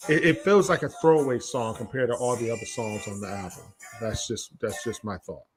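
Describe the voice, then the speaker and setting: Male podcast host, medium pitch, laid-out casual chat, slangy, repeats himself for emphasis. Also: brisk, faint music behind.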